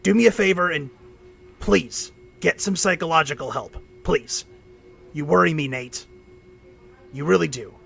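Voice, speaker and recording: A man playing a loyal, worried mechanic in an audio drama, speaking earnestly and haltingly. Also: fairly high pitch, vocal fry, a bit bright, slight background noise, exaggerated voice. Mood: pleading, worried. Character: worried, loyal male mechanic friend, irreligious and wry.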